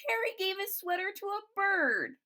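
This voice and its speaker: Storybook kid, played by a woman: high, eager, delighted, exclaiming. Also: sing-song, normal speed, sharp tone, heavily acted. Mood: amazed, eager. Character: excited young children, eager and delighted.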